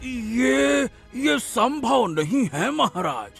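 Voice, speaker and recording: A man's voice: very high voice, big pitch swings, extremely slow, gravelly, a bit bright, breathy edge, faint music behind, full character voice. A man voicing a sly evil cartoon sorcerer who serves a king, with a wheedling, insinuating, pleading delivery. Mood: sly excuses. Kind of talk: animated Hindi moral-story acting.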